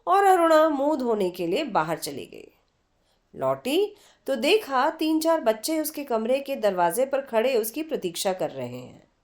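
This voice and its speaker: High-voiced expressive woman storyteller. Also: unhurried. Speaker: story reader.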